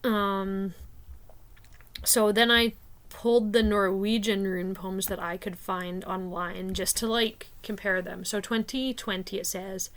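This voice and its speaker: A woman's voice: mid-range voice, level tone, a bit bright. A woman from Canada talking casually and thinking aloud, with frequent fillers and restarts. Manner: unhurried, hesitant, many fillers like "um" and "like". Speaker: video creator discussing rune poems. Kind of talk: casual spoken commentary.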